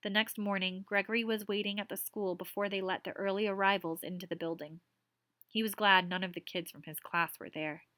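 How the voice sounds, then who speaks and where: A woman reading a storybook aloud as a teacher, soft-spoken and explanatory. Her middle-range voice sits close and dry, with no room sound. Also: mid-range voice, fairly even intonation, a little fast, no echo.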